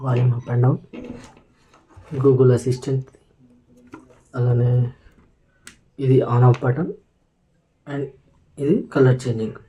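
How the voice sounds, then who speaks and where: Mellow, halting Telugu man vlogging. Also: medium pitch, level tone, a little hiss or hum, poor audio. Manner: hesitant, halting, slips English words into speech. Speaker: vlogger presenting a product unboxing. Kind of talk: casual unscripted product walkthrough.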